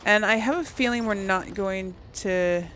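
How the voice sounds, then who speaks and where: A woman's voice: medium pitch, a little slow, fairly bright tone, a little hiss or hum. A woman giving casual gameplay commentary to viewers, rambling and thinking aloud as she goes. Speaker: let's play host.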